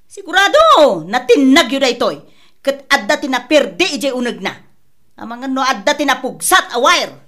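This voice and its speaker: A woman playing a quick-tempered, loud-mouthed household nag who scolds and complains in a comedy radio drama. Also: high-pitched, big pitch swings. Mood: scolding.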